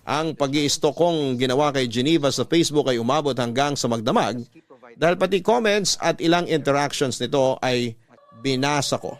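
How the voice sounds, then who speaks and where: A man's voice: mid-range voice, moderate pace. A man with a Filipino accent reading a first-person love story in a classic radio storytelling style. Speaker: narrator reading a love letter.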